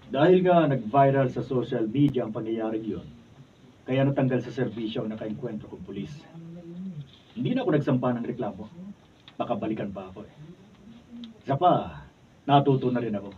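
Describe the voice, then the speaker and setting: A man's voice: mid-range voice, fairly quick, pauses often, close to an everyday voice. A man with a Filipino accent playing a hot-headed, cocky husband in a radio drama. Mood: calm, reflective. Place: radio drama recording studio. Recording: muffled, noisy behind-the-scenes studio video, distant mic.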